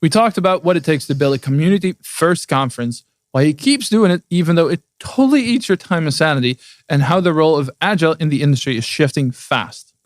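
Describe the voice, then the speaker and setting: A man's voice: fairly high pitch, lively intonation, fast, dry recording. A man co-hosting a podcast, presenting the episode intro in an upbeat way. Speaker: podcast co-host, Scrum trainer.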